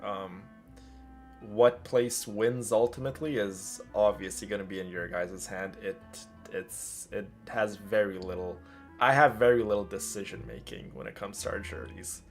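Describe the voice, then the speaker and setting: A man's voice: on the low side, moderate pace, faint music behind. A man hosting a livestream, chatting casually and addressing viewers with doting affection. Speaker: livestream host. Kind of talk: casual chat while streaming a game.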